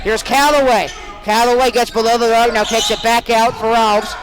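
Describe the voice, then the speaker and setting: A man's voice: very high voice, flat delivery, normal speed, a crowd in the background, rough recording. A young man working as a sportscaster, giving emphatic, projected sports broadcast commentary. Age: young adult. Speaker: sportscaster, play-by-play announcer.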